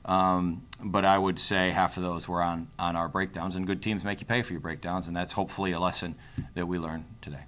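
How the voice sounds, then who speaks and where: Middle-aged man, low voice, coach at a press conference, analytical, halting delivery. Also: flat delivery, fairly bright tone. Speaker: basketball coach. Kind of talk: post-game press conference answers. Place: indoor press conference room, seated at a table. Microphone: tabletop microphone.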